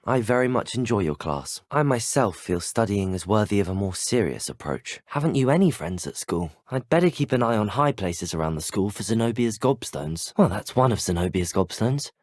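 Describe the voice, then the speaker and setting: An earnest young British student adventurer in a fantasy video game. His voice is fairly low and moves around expressively, recorded close and dry. He speaks in a formal, courteous, well-spoken way. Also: lively intonation, moderate pace, few pauses, a little mellow, dry recording. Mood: earnest, respectful. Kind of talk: scripted video game voice lines.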